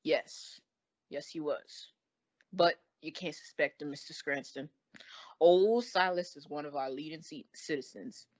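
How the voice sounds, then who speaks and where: A man's voice: a little high, measured pace, lightly acted. Guy doing village sheriff, earnest n respectful, old radio play acting, muffled lo-fi sound. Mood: earnest, worried. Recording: dull lo-fi radio play recording.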